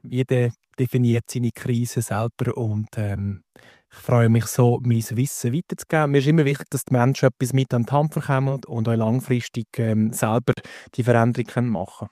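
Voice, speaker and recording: Mellow man with a Valais Swiss accent. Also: mid-range voice, fairly even intonation, normal speed, dry recording, clean studio sound. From Valais, Switzerland. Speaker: podcast guest, mindset coach. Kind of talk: conversational explaining.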